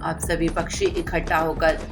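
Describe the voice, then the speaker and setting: A woman's voice: low voice, flat delivery, a little slow, loud music behind the voice. A woman narrating a scripted children's moral story.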